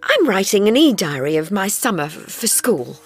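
A male narrator raises his voice into a nervous, quick-witted teenage girl, lifted well above his own pitch and moving lively up and down. Soft music plays underneath. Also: breathy edge, exaggerated voice. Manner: nervous, careful.

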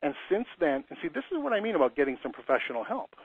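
A man's voice: a little high, very animated, quick pace, husky. A man in his fifties giving an earnest, conversational webinar talk as a lease consultant, often restarting his sentences. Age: 50s. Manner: earnest, storytelling, frequent restarts and repeated words. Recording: tinny phone-line audio.

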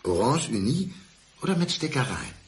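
Intense, falsely calm man, lively intonation. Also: mid-range voice, normal speed. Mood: probing, intense. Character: intense supposedly blind man, falsely calm, clinging to his ex. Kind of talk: radio crime drama acting.

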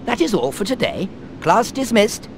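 An elderly schoolmaster addresses pupils in a precise, fussy, kindly way. His pitch sits fairly high and leaps about wildly. It is game dialogue with faint noise. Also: sing-song, moderate pace, creaky, exaggerated voice. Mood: brisk, instructive. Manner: precise, formal classroom delivery. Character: elderly little male charms professor, kindly and fussy.

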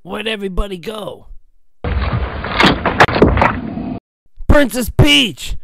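An actor voicing a plucky, excitable male hero plumber with eager exclamations in an amateur cartoon dub. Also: mid-range voice, moderate pace, creaky, very noisy. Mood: eager, urgent. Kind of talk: amateur English anime fan dub. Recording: loud background music behind the voice.

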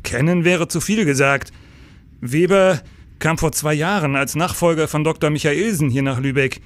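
An actor playing a weary, commanding middle-aged police chief in a radio crime drama, brisk with pensive hesitations. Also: male voice, fairly high pitch, close to an everyday voice.

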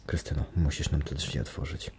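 A man voicing an anguished, devoted young nobleman who speaks in an urgent, hushed way. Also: rumbling low, fairly even intonation, a little fast, slight background noise, voice pushed down.

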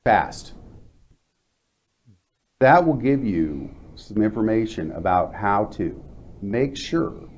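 A man in his fifties hosting a casual studio interview, talking informally with halting phrasing. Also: fairly low pitch, big pitch swings, a little mellow. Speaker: interviewer, co-presenter. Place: TV-style studio at a glass desk. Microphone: lapel mic.

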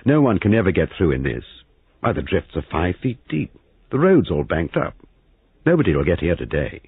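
A man's voice: deep voice, very animated, normal speed, breathy edge, no echo, exaggerated voice. A man voicing an affable, matter-of-fact husband in an audiobook. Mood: reassuring. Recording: muffled phone-like audio.

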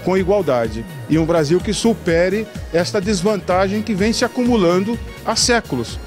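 A middle-aged man with a high voice for a man speaks emphatically, listing point after point and often tagging on "né?". He talks into a handheld mic in a large hall, with faint music behind him. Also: lively intonation, moderate pace. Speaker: interviewee. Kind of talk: interview, political commentary. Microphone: handheld reporter mic.

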